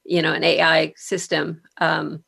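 A woman's voice: low-pitched, completely flat delivery, a little slow, creaky, a bit bright, breathy edge. A woman hosting a conversational interview in an encouraging, appreciative manner. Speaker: podcast host and career author.

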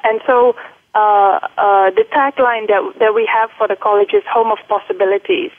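A woman in her forties with a Dutch accent, a little British and American in it, speaking at a middle pitch. She talks haltingly, with many ums and restarts. The sound is thin and tinny, like a phone line, with no room echo. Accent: Dutch, with British and American touches. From Netherlands. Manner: hesitant, many fillers and restarts. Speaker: guest, college faculty member. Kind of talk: interview conversation.

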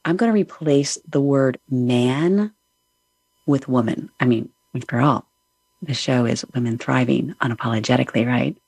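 A middle-aged woman hosting a show, leading listeners through a soothing, unhurried guided breathing meditation. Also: extremely low pitch, creaky, breathy edge, no echo. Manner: soft, soothing, unhurried guidance. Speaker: podcast host.

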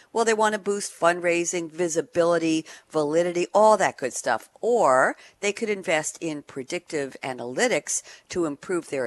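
A radio show host introducing a panel discussion, upbeat and clearly spoken, asking rhetorical questions. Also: medium pitch, a bit bright.

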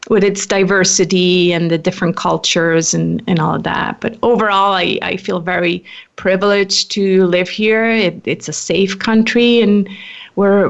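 A woman in her forties from Switzerland, a podcast guest chatting casually, with frequent laughs and restarts. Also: medium pitch. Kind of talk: casual interview conversation.

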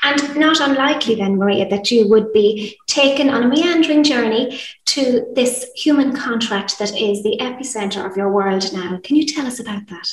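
A woman's voice: on the high side, moderate pace. A woman hosting an interview show, reading a polished introduction and asking questions with enthusiasm. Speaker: podcast host. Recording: roomy sound, distant from mic.

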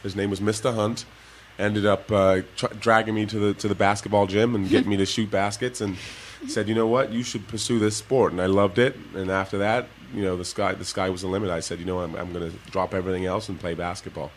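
Low-voiced guy telling kids about his life, easygoing, lots of laughs, bit of hiss. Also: a little fast, hardly any pauses. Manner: relaxed storytelling, laughs often. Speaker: guest, former pro basketball player. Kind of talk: casual interview talk to kids.

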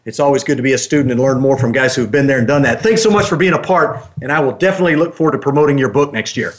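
A man's voice: mid-range voice, racing. A man hosting a talk radio show in an enthusiastic, chatty way.

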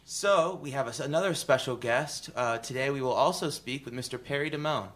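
Bright-voiced encouraging male host. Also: medium pitch, fairly quick. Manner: encouraging, eager to praise. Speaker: radio show host. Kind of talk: radio interview.

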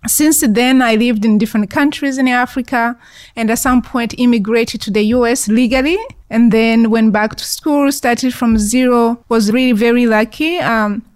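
A woman's voice: on the high side, dry recording. A middle-aged woman from Rwanda, a guest telling her life story in an interview with earnest, reflective answers and occasional fillers. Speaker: guest, founder of an investment fund.

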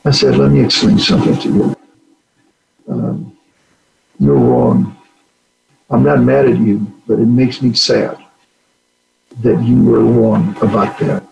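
A man's voice: mid-range voice, slow, vocal fry, pauses often, darkish tone, poor audio. An older man from Puerto Rico, a retired general, earnestly telling stories in an interview and acting out the dialogue. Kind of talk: storytelling interview answers.

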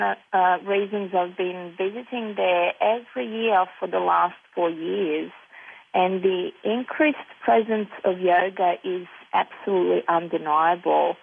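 A woman from Serbia, a yoga teacher speaking as a panel guest in a measured way with frequent "um" fillers, heard over a tinny phone line. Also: fairly low pitch, creaky, slightly rough.

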